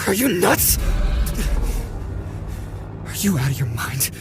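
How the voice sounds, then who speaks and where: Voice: medium pitch, very animated, slow. An actor playing a hostile, panicky armed prisoner in an audio drama, aggressive and foul-mouthed, with music behind. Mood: hostile, agitated. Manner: aggressive, swearing, threatening. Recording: music under the voice.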